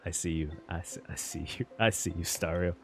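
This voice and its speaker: A man's voice: low-pitched, normal speed, a bit bright. A man doing casual live reaction commentary on a game as a streamer, slangy and sweary, often repeating his phrases.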